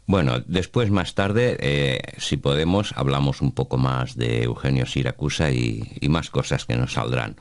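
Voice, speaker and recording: A male radio interviewer with an extremely deep voice. He frames questions for his guest in halting phrases, with pauses between them. The sound is dry, with very little room. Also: dry recording.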